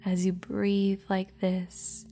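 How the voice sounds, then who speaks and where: Female meditation guide, slow, mellow, half-whispered, even delivery over faint music. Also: medium pitch.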